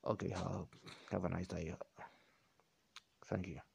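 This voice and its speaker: A man's voice: deep voice, little pitch movement, a little slow, creaky, darkish tone, husky. A man talking unscripted on his own podcast, rambling as he repeats and corrects himself. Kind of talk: unscripted solo talk.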